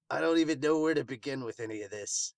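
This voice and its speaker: A man's voice: fairly high pitch, vocal fry, darkish tone, no echo. A man hosting a manga podcast in casual chat, with congested sinuses. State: congested sinuses, sniffing and throat clearing. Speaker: podcast host reviewing manga.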